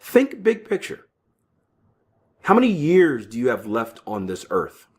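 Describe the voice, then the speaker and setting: A man's voice: medium pitch, wildly swooping pitch, unhurried. A man in his early thirties hosting a podcast, talking casually with frequent "right?" tags and restarts. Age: 30s. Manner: conversational, keeps tagging lines with "right?"; stumbling restarts and fillers, offhand asides. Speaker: podcast host. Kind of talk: casual solo talk on trading psychology.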